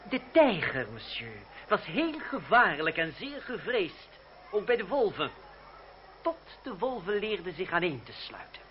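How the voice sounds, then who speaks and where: An actor playing a bold lawyer in a radio play, speaking formally and with ironic courtesy. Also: male voice, sits high, sing-song, measured pace. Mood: coolly menacing. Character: bold young male lawyer, coolly defiant and pointed. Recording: dull old radio recording, faint noise.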